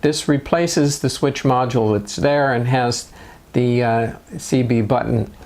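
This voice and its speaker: A man narrating step-by-step DIY instructions in a measured, matter-of-fact way. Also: mid-range voice, fairly even intonation, darkish tone. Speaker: motorcycle DIY video host.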